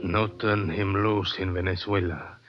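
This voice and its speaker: An actor playing a superstitious, fearful Venezuelan guide in a radio drama, speaking halting broken English with a Spanish accent. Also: male voice, sits low, steady tone. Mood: fearful warning. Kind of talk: 1940s radio drama acting. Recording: dull vintage radio recording with loud music.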